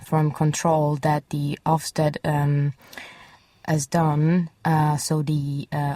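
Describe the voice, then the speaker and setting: Low, flat-voiced young Italian woman. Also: dry recording. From Italy. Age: young adult. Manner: hesitant, searching for words. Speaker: guest, journalism student. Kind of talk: casual panel discussion. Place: small student radio studio.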